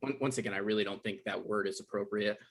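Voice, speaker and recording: A man's voice: fairly low pitch, fast, close to an everyday voice. An actor playing an awkward, evasive novelist in his thirties, stammering through naturalistic comedic dialogue in a table read. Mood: defensive. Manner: hesitant, stammering. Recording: online live-stream table read.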